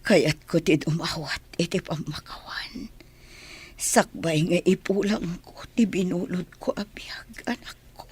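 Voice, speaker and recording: A woman playing an ailing, remorseful mother in an Ilocano radio drama, with a husky, breathy voice and a slow, pleading manner. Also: on the low side, a little mellow, whispered. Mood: sorrowful. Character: ailing, remorseful mother returning to her estranged children.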